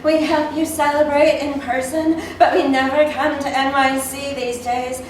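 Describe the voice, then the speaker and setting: A woman's voice: high voice, level tone, measured pace, voice pushed up. A distant, echoey stage recording has a woman putting on a catty female artist rival. She gloats in a raised, condescending voice, full of smug comic theatre. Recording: roomy echoing venue, distant mic, audience noise.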